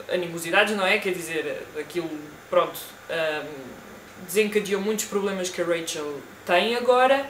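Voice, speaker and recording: A woman's voice: mid-range voice, a bit bright, reverberant, slight background noise. A young woman with a European Portuguese accent, chatting casually through a book review at home, with frequent fillers and restarts. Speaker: book vlogger. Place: home room with bookshelves. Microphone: desk camera at close range.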